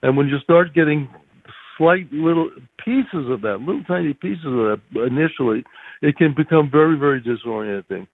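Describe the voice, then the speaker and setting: An older man answering as an interview guest in a reflective, halting way with stammered starts, over a phone-like line. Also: on the high side, gravelly.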